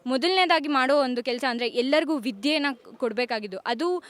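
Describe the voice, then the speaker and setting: A woman giving an earnest informal speech as a contest participant, with halting starts and some English words mixed in. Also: high-pitched, fairly bright tone, no echo.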